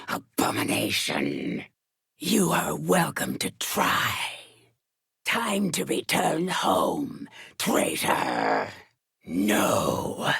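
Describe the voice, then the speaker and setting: A woman voicing a proud, menacing female alien assassin in a video game, with a gravelly voice and a haughty, formal, commanding delivery. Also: fairly low pitch, fairly bright tone, full character voice. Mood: cold menace. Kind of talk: theatrical game villain voice acting.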